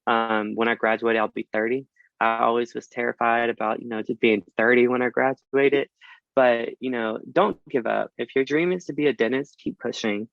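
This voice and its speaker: Guest read: man in his twenties, from Alabama, easygoing and halting, mid pitch, dry and slightly dull recording. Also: gravelly, no echo. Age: 20s. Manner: relaxed, halting, many fillers and restarts. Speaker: dental student guest. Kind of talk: casual interview chat.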